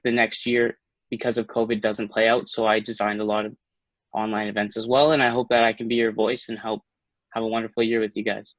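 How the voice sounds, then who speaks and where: A man's voice: medium pitch, no echo. Earnest young man, lo-fi sound. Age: young adult. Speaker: student rep candidate. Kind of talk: campaign speech in a debate.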